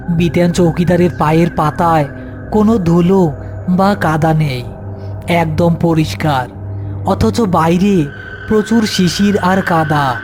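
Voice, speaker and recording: Male horror narrator, high voice, music underneath. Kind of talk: scripted horror story narration.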